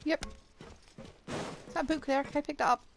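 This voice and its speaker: A woman's voice: high voice, steady tone, moderate pace, vocal fry, noisy background. A woman game streamer giving casual live commentary, thinking aloud as she plays. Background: game music.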